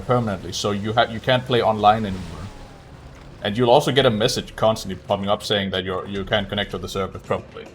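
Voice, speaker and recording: A man's voice: lowish voice, a little hiss or hum. A man doing casual live commentary while playing a game, chatting to viewers as he goes. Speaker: speedrunner streaming live.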